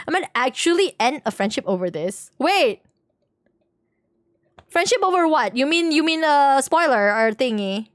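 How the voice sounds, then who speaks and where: A woman's voice: high-pitched, sing-song, a little slow, long pauses, dry recording, studio quality. A woman live streamer chatting casually and informally with her viewers while playing a game, in a giggly way. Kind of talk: casual chat with stream viewers during gameplay.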